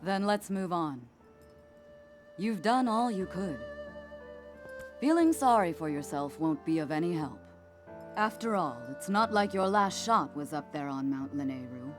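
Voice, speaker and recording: A woman's voice: lowish voice, expressive, a little slow, long pauses, soft background music. A woman playing a composed, practical warrior in a video game cutscene, speaking in a firm, reassuring, matter-of-fact way. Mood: firm, consoling.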